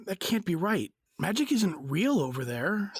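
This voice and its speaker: Female actor as skeptical male quester, husky, lively intonation, blunt, contrite, audio drama. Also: on the low side, a little slow, no echo, clean studio sound, exaggerated voice. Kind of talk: naturalistic audio drama acting.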